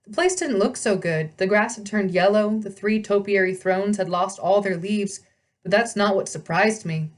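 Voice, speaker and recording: Female storyteller, medium-pitched, expressive first-person storybook narration. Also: normal speed. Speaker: read-aloud storyteller.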